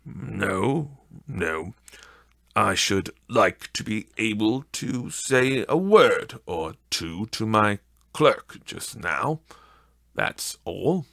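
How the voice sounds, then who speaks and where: A man's voice: lowish voice, sing-song, very slow, gravelly, fairly bright tone, dry recording, studio quality, heavily acted. A man voicing an agitated, remorseful older miser in an audiobook of a Victorian tale.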